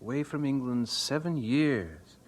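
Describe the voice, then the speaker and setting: Earnest, animated young missionary. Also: male voice, mid-range voice, measured pace. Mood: earnest, concerned. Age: young adult. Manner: pious, eager, naive. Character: earnest, idealistic young male missionary newly arrived. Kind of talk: audio drama acting.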